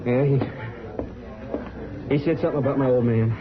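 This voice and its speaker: An actor playing a bitter, hot-tempered young American cowboy in an old radio western, talking with sullen resentment. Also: male voice, mid-range voice, a little fast, lightly acted. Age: young adult. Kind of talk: 1950s radio western acting. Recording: muffled old radio recording, crowd noise.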